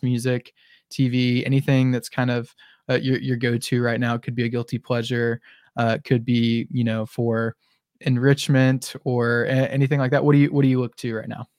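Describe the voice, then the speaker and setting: Halting young man hosting a podcast. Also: mid-range voice, level tone, a little mellow, dry recording, studio quality. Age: 20s. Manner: halting, repeats words, affirming. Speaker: podcast host. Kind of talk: casual interview chat.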